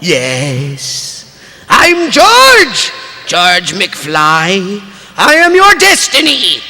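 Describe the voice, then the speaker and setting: A very high, piercing young man, awkward and overexcited, halting through a clumsy declaration of love acted by a man. The pitch swoops wildly in this live stage comedy. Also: wildly swooping pitch, pushed and bright, long reverb tail, heavily acted. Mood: flustered, lovestruck. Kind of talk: live comic script reading.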